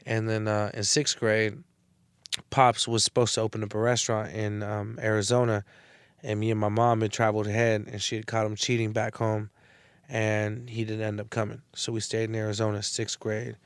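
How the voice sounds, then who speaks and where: A man's voice: lowish voice, level tone, moderate pace, smooth, dry recording. A man from the San Francisco Bay Area, a guest in a casual interview, laid-back and slangy, swearing casually. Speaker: guest, rapper and entrepreneur.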